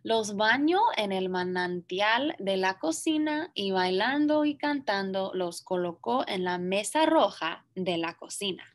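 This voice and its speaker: A young woman reading a picture book aloud to children, expressive and deliberate in her phrasing. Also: medium pitch. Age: young adult. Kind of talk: children's story read-aloud with brief asides. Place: small indoor classroom.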